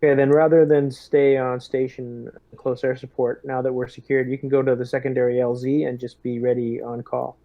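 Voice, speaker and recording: A man in a tabletop roleplaying game, speaking as a lieutenant in deliberate, hesitant military talk. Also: medium pitch, little pitch movement, lo-fi sound.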